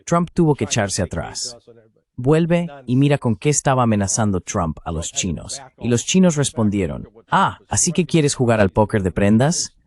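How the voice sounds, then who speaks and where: A man's voice: medium pitch, big pitch swings, normal speed, a little mellow. A man reading a translated voice-over of a political commentator in an interview.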